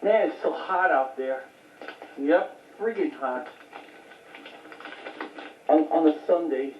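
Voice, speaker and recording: A woman's voice: sits low, slow, a little hiss or hum. A woman giving a casual, unscripted show-and-tell of a home collection in a rambling, matter-of-fact way. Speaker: home video collector showing recent finds. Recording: tinny phone-like audio, echoey, distant mic.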